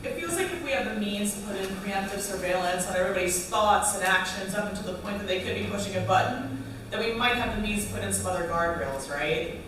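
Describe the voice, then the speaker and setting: Female audience member, medium pitch, informal reasoned argument, distant pickup with heavy room reverberation. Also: expressive, quick pace. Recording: very echoey room, distant mic, clear noise.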